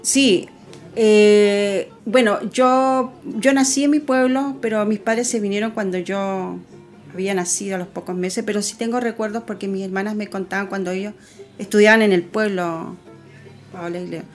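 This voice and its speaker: A woman's voice: mid-range voice, steady tone, normal speed, faint music behind. A woman co-hosting a radio program, speaking earnestly and affectionately about family memories.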